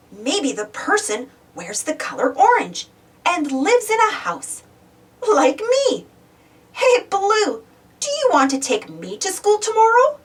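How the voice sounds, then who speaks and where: Woman reading as very animated, cheerful preschool host. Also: extremely high pitch, far from the mic, heavily acted. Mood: puzzling, then excited. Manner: animated, sing-song, addressing young children directly. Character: Joe, cheerful young man hosting a preschool show. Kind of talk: children's storybook read-aloud.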